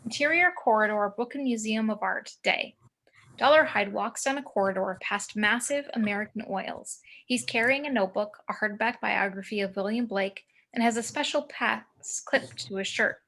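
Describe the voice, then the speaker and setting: A woman's voice: medium pitch, moderate pace, a bit bright. A woman reading screenplay action descriptions as narrator of a table read over a video call. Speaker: narrator reading stage directions.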